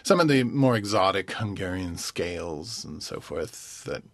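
Low husky thoughtful male pianist. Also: animated, a bit bright, dry recording. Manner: thoughtful, pausing to search for words. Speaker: concert pianist guest. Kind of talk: relaxed radio interview.

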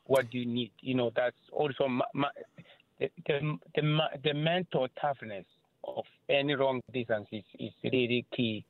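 A man with a Kenyan accent asks his question over a tinny, phone-like line. His voice sits in a middle register, and he pauses often to search for words. The sound is dry and close. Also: medium pitch, fairly even intonation, no room sound. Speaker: caller.

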